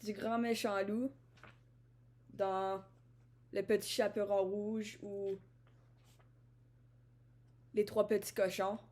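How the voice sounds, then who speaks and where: A woman's voice: mid-range voice, flat delivery, takes it slowly, pauses often, a little mellow. A woman from Ottawa talks to the camera in a rambling, introspective vlog monologue, often repeating and restarting her phrases. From Ottawa, Canada. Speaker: vlogger.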